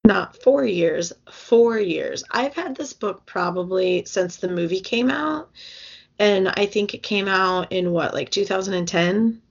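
A woman's voice: medium pitch, lively intonation, a little slow, vocal fry, breathy edge, dull audio. A young adult woman co-hosting a casual book podcast, rambling with fillers and restarts as she loses her voice. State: losing her voice. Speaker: podcast co-host.

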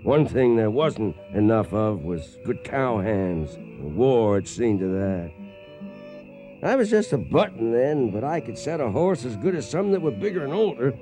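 An actor playing a weather-beaten old trail boss who spins yarns in folksy American Western speech for a radio western. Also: male voice, medium pitch, sing-song, a little slow, music in the background. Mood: reminiscing. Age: older. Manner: folksy, rustic cowboy speech. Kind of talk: radio western acting, storytelling narration.

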